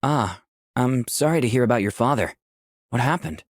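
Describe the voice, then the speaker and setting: A man's voice: medium pitch, moderate pace, no room sound, studio quality, close to an everyday voice. A man voicing a candid, easygoing young protagonist who narrates a visual novel in the first person. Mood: impressed, polite. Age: young adult. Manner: clear scripted storytelling.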